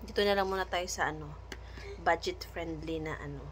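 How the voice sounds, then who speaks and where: Filipina vlogger doing a casual unboxing, kinda low voice, noisy background. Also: female voice, fairly quick. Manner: informal, thinking aloud, mixing in English words. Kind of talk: casual unboxing vlog chat.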